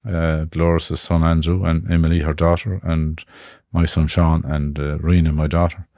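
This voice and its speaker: A man in his fifties with an Irish accent from Connemara, telling his life story in a reflective, halting way. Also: a bass voice, little pitch movement, mellow, dry recording, studio quality. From Ireland. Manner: reflective, halting, with frequent restarts. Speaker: guest. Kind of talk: personal life story in conversation.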